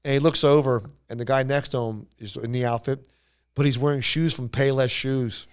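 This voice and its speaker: A man casually telling anecdotes on a podcast in a rambling, animated way, acting out what people said. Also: mid-range voice, moderate pace, clear, resonant tone. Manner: rambling, animated retelling with acted-out quotes. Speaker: podcast storyteller.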